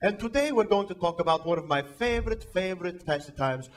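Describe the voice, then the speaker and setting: A man's voice: high-pitched, animated, brisk. A man playing a vain, boastful Italian cooking show host, with an Italian accent and a pompous, theatrical delivery in a comic sketch.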